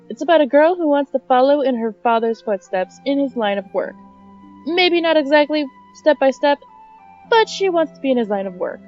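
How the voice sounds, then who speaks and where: Casting: high-pitched female community leader, scripted announcement, muffled lo-fi mic. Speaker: community events leader, amateur voice actor. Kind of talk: scripted self-introduction and project announcement. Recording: dull lo-fi microphone.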